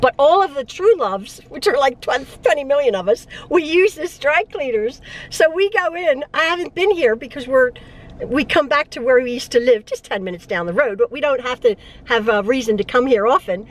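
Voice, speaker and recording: Lively high-voiced chatty middle-aged woman. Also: fairly quick, low-quality recording. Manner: chatty, stumbling restarts, frequent laughs. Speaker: vlogger and mother. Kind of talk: casual chat. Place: inside a parked car. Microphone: phone held at arm's length.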